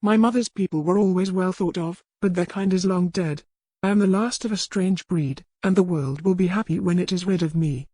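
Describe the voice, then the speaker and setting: A woman's voice: on the low side, moderate pace, dark tone, dry recording, natural voice. A woman voicing a wronged, defiant old healer woman in an audiobook historical tale. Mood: resigned, bitter.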